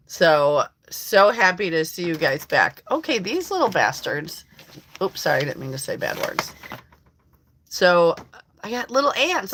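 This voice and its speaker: Hoarse, bright-voiced woman live-streaming. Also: on the low side. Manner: chatty, rambling, laughs often. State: hoarse from allergies. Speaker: livestream host. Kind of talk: casual live-stream chat. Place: rural home.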